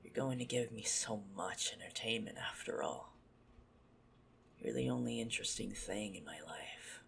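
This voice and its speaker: A man playing a possessive, menacing, smug vampire stalker in an ASMR roleplay, haughty and teasing in a deliberate murmur. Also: fairly low pitch, lively intonation, gravelly, fairly bright tone, slight background noise, full character voice. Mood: possessive, gloating.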